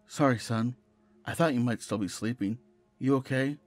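A man voicing a gentle, mildly weary mother in a horror story, speaking softly. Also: mid-range voice, moderate pace, faint music behind, close to an everyday voice. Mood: gentle concern. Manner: gentle, quiet, slightly moaning. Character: soft-spoken mother, mildly weary, caring.

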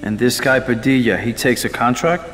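A man plays a blunt, streetwise convict in a video game. His mid-pitched voice is casual and rough-mannered, ringing in long reverb over faint music. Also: steady tone, moderate pace, a bit bright, lightly acted. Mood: blunt, impatient. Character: blunt, foul-mouthed, streetwise male prison inmate.

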